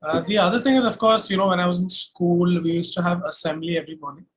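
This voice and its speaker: A man's voice: high-pitched, steady tone, quick pace, a little hiss or hum. A young man with an Indian accent, chatting casually and telling stories as an interview guest. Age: young adult. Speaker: singer-songwriter guest.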